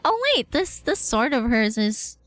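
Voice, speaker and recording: A woman's voice: fairly high pitch, big pitch swings, measured pace, fairly bright tone, no room sound, soft background music. A woman livestreaming a game with casual, slangy, sweary reaction commentary in a playful, irreverent manner.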